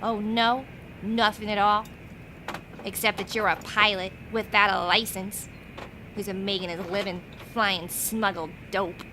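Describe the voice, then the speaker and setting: Actress as a brassy, hard-boiled gangster's moll. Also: female voice, fairly even intonation, a little slow, natural voice. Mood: sardonic, bossy. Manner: slangy, curt, hard-boiled. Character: tough, brassy female gangster's accomplice. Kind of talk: 1940s radio crime drama acting. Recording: clear vehicle rumble behind the voice.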